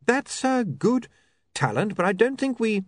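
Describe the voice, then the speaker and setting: A man voicing a timid, nervous young male clerk in a storybook reading, pitching his voice high and anxious. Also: big pitch swings, a little slow, dry recording. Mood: flustered.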